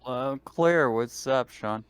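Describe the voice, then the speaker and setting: A man's voice: medium pitch, takes it slowly, clear, resonant tone, no room sound. A man chatting casually as a player in a tabletop role-playing game.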